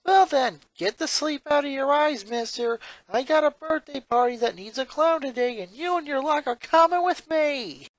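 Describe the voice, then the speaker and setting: A man's voice: very high-pitched, expressive, normal speed, hardly any pauses, dry recording, pitched above the performer's own voice, poor audio. A man voicing an upbeat, pun-loving teenage comedian sister from a cartoon fan story, with a jokey, teasing delivery. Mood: bossy, playful.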